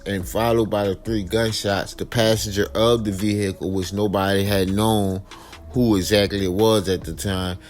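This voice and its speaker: An American man hosting informal true crime commentary, speaking colloquially and straight to his viewers, repeating phrases for emphasis. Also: lowish voice. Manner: colloquial, direct address to viewers, emphatic repetition. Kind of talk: informal crime storytelling with personal opinion. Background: background music.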